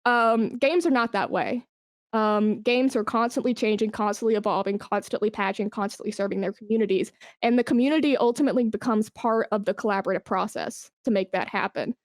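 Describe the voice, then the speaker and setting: A woman's voice: mid-range voice, brisk, no room sound, clean studio sound. A woman talking informally as an interview guest, explaining things with examples and emphasis. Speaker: esports brand manager, podcast guest. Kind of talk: conversational interview.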